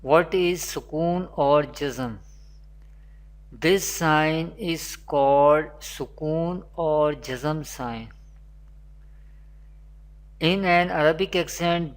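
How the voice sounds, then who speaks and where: A Quran teacher, giving an online lesson in a slow, repetitive teaching manner, with Arabic recitation. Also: medium pitch.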